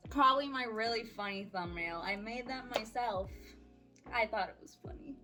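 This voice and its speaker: A woman's voice: fairly high pitch, normal speed, music in the background. A woman in her early twenties giving a casual personal update in an awkward, self-deprecating, giggly, rambling way. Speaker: audio roleplay voice creator and vlogger.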